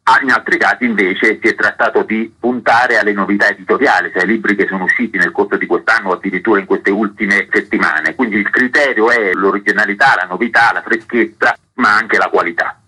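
A man's voice: lowish voice, brisk, few pauses, bright, forceful tone, breathy edge, poor audio. A man giving formal, articulate interview answers in long, elaborate sentences, as an artistic director.

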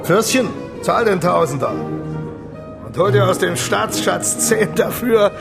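Flirty baroque king in a radio play, grand and jovial, gravelly, music underneath. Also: sits high, a little slow. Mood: jovial, boastful. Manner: grand, theatrical courtly address. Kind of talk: radio drama acting.

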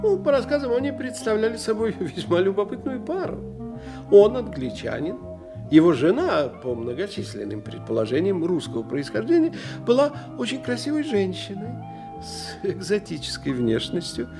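An actor playing a learned older professor in an audio drama, lecturing in a theatrical, condescending manner. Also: male voice, sits high, big pitch swings, normal speed, creaky, music in the background, heavily acted. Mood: genial, gossipy. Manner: didactic, theatrical, condescending to his young friend. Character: animated, learned older professor investigating psychic phenomena.

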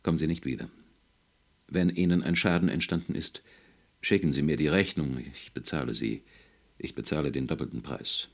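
Narrator doing a stern academic husband, deep creaky voice, strained and barely holding it together, audiobook. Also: male voice, normal speed, full character voice. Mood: drained. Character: distraught male historian, stern husband barely keeping control.

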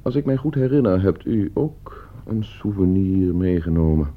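An actor playing a coldly scheming gentleman heir in a radio play, suave, calculating and condescending. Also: deep voice, animated, normal speed. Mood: coolly probing. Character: coldly scheming, broke gentleman heir plotting his uncle's murder. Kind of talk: Dutch radio play acting. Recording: muffled old radio play recording.

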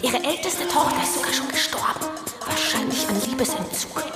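A woman's voice: high voice, expressive, fairly quick. A woman playing a malicious, judgmental gossip in a radio play, speaking accusingly. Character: malicious, judgmental female scandalmonger. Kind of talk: acted gossip. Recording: echoey, loud music behind.

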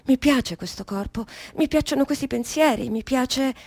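High, dry-sounding woman sardonic unborn soul. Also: normal speed, creaky, a little mellow, husky, dry recording, heavily acted. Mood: defiant. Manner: self-correcting, repetitive, musing then emphatic. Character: trapped, stubborn, sardonic unborn baby with adult mind. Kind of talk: theatrical radio drama monologue.

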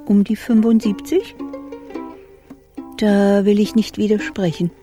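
A woman's voice: on the low side, mellow. An actress playing a timid, prim pensioner in her seventies, polite and fretful, hesitating in a German radio crime comedy. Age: 70s. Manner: hesitant, ladylike, stammers when unsure. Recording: music behind the voice.

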